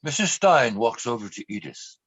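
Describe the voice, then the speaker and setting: A man's voice: mid-range voice, big pitch swings, normal speed, a bit bright, breathy edge, no echo, heavily acted. A man acting as the grave, earnest narrator of a scripted radio play. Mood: matter-of-fact.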